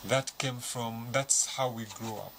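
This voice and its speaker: A man with a Zambian accent speaking as a panel guest, measured and explanatory, walking through examples. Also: medium pitch, fairly even intonation, a bit bright. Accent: Zambian English. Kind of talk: studio panel discussion. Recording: noisy studio recording.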